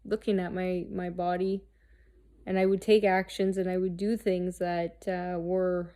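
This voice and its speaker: Gravelly, halting woman in her thirties. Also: lowish voice, little pitch movement, dark tone. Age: 30s. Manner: halting, searching, with many restarts and fillers; frank, swears freely. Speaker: host. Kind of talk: candid personal monologue.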